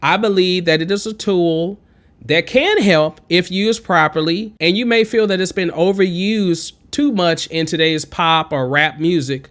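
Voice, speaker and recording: A man in his thirties talks through a home-studio tutorial in a high, twangy tenor that sits a little above most men's voices. He is chatty and encouraging and wanders off on side notes. He is close on a condenser mic. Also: a bit bright. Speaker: music production tutor. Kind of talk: casual screen-recorded tutorial. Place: home studio with green screen. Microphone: studio condenser mic with pop filter, wearing headphones.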